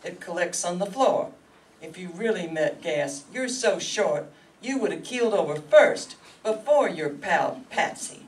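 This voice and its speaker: An actor playing a grave, matter-of-fact doctor with measured, formal speech in a stage radio mystery. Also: mid-range voice, animated, close to an everyday voice. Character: grave, matter-of-fact doctor who sees through lies. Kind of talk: radio mystery acting before a live audience. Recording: distant mic, faint room noise.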